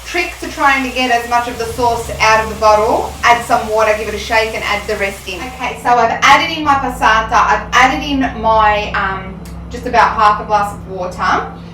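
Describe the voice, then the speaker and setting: A woman's voice: fairly high pitch, normal speed, sharp tone. Chatty woman in a roomy kitchen. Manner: chatty, explains step by step with frequent ums. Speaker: Italian teacher. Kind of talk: casual cooking demonstration. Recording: roomy sound, mic at a distance, faint room noise.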